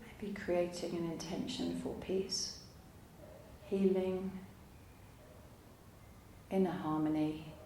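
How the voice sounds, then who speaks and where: A woman teaching yoga nidra, guiding a relaxation meditation in a calm, soothing, unhurried way with long pauses. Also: on the low side. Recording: roomy echo, faint background noise.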